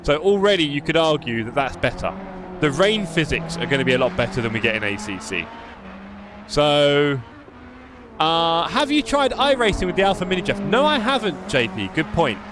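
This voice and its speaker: A man's voice: on the high side, big pitch swings, normal speed, background noise. A man with a London accent chatting and joking with his live stream chat while playing a racing game. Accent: London English. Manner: chatty, jokey, reads chat aloud.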